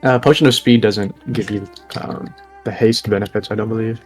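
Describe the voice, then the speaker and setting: A man's voice: on the low side, fairly even intonation, moderate pace, husky, faint music behind. A man narrating and running a tabletop game as game master, talking casually with lots of fillers.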